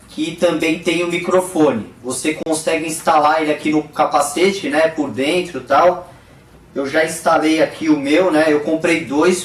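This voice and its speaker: A man with a Brazilian accent giving a casual, conversational explanation as a vlogger, often checking in with short tag questions. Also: on the high side. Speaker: motorcycle vlogger. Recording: echoey room, distant microphone.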